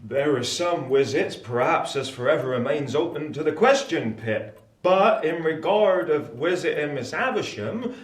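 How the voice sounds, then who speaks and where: An actor playing a simple, kindly English village blacksmith in a period radio drama, with a homely, hesitant way of talking. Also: male voice, fairly high pitch, lively intonation, a little slow. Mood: gently cautious. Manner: homely, roundabout, gentle phrasing. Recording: roomy, echoey sound.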